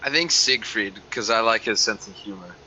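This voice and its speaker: A man's voice: fairly low pitch, moderate pace, sharp tone, a little hiss or hum, poor audio. A man playing in a tabletop game stream, chatting in an upbeat way. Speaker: player. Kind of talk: casual chat.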